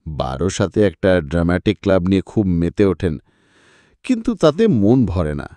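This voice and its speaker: A man acts out a chatty, grumbling private secretary for an audiobook. His pitch swings widely as he explains things in a conversational, slightly hesitant way. The sound is dry and close. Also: mellow, no room sound. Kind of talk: dramatised audiobook dialogue.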